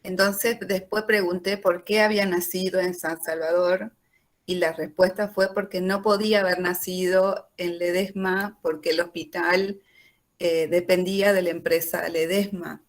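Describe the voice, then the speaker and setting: A woman teacher speaks slowly and reflectively. Her voice sits low. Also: level tone. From Jujuy, Argentina. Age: 40s.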